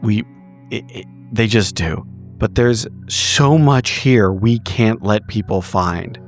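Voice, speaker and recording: A man playing a tabletop roleplaying game, improvising in character with halting, repetitive, swear-laden phrasing. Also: lowish voice, soft background music. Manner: halting, repetitive, profanity-laced speech. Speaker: tabletop roleplay podcast player.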